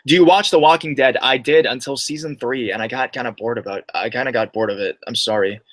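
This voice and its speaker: A man's voice: mid-range voice, fast talker, a bit bright, no room sound. A young adult man who reads viewer horror stories aloud and then chats loosely with his live-stream audience, full of slang. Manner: slangy, loose and chatty, calls listeners bro and dude.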